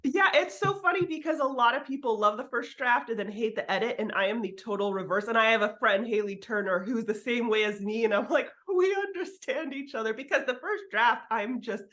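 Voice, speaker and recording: A woman's voice: fairly high pitch, a bit bright. A woman chatting informally as an interview guest, giving writing advice in a candid way with the occasional swear word. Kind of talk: casual interview chat.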